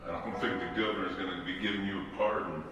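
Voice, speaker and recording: A cynical convict, played by a man, taunts from a neighbouring cell in rough, colloquial talk. His lowish voice rings with heavy reverb, some way from the mic. Prison-film acting. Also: fairly even intonation, fast talker, fairly bright tone, slight background noise. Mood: mocking. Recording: very echoey hall, distant from mic.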